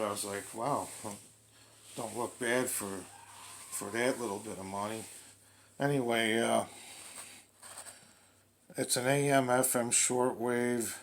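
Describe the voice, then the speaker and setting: A man giving casual, unscripted unboxing commentary as a gadget reviewer, halting and thinking aloud as he goes. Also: on the low side, fairly bright tone, a little hiss or hum.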